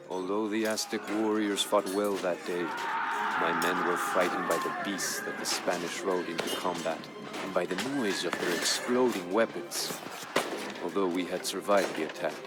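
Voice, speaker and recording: A man playing a proud, grave Aztec jaguar warrior who solemnly recounts his people's fall as a strategy game's campaign narrator, in a measured, dramatic way. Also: low-pitched, close to an everyday voice. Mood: solemn, wary. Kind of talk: solemn scripted storytelling narration for a strategy game.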